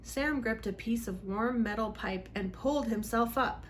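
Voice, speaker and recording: A woman's voice: a little high, moderate pace, a little hiss or hum. A woman reading a storybook aloud for children in a dramatic, emphatic way. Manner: dramatic, emphatic on exciting moments. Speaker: read-aloud host for children.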